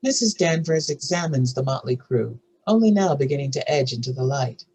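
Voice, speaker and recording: A woman with a husky voice reads scripted narration and stage directions for a drama table read. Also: a bass voice, animated, brisk, warm tone, a little hiss or hum, rough recording.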